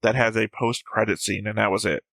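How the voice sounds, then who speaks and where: A man's voice: fairly low pitch, little pitch movement, fairly quick, creaky, a bit bright, no echo. A man hosting a reaction show, explaining at length in a casual, musing way.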